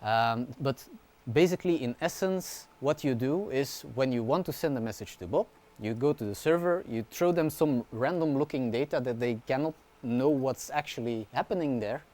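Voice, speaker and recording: A man, a university researcher giving a relaxed conference talk, often checking in with the audience. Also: medium pitch, normal speed. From Belgium. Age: 20s. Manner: casual, informal explaining with frequent "yeah?" and "right?" check-ins; fillers and restarts, light humor.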